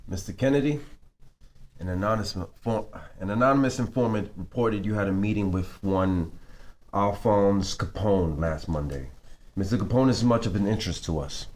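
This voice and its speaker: Casting: man for an official, dogged investigator in a period TV drama, fairly deep register, formal questioning. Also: normal speed, a little mellow, natural voice. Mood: probing. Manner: formal, probing. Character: formal, persistent 1920s government agent. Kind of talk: table read of a TV script.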